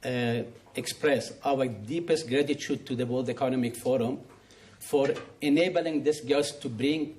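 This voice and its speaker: A man's voice: medium pitch, dark tone. A man speaking on stage at a forum, giving formal remarks and answers as a music school director. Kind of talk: public thanks and question answering at a forum. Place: on stage at a live forum event.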